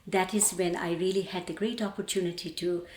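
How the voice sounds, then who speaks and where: An older woman from Bangladesh with a Bangladeshi accent, reflecting on her life as a painter in a thoughtful, halting way with pauses. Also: on the low side, steady tone. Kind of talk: reflective personal interview. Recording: roomy, echoey indoor sound.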